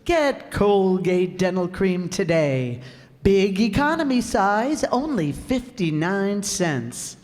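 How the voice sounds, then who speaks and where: A woman's voice: mid-range voice, animated, slow, a little mellow. A woman reading a vintage radio commercial as an announcer, with an emphatic, declarative sales delivery. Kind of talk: 1940s radio advertisement reading. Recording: very echoey hall stage recording.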